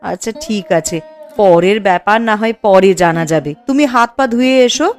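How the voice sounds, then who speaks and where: A woman's voice: medium pitch, expressive, normal speed, soft background music, lightly acted. A woman voicing a worried young village wife in a cartoon, anxious and fretting. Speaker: cartoon character.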